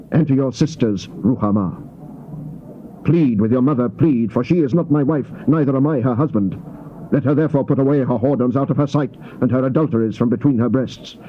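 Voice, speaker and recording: Male scripture narrator, solemn and declamatory, theatrical Bible delivery, medium pitch, muffled old cassette with faint noise. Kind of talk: dramatized King James Bible reading. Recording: dull, noisy old cassette tape.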